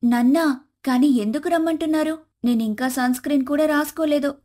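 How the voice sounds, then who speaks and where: Woman doing a high, indignant younger sister. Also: fairly quick, dark tone, natural voice. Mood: puzzled, put out.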